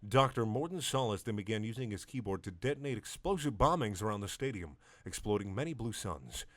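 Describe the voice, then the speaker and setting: A man giving a deadpan, mock-serious comedic reading of fan fiction, with wry asides. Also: lowish voice, brisk, no room sound. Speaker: dramatic reader of fan fiction.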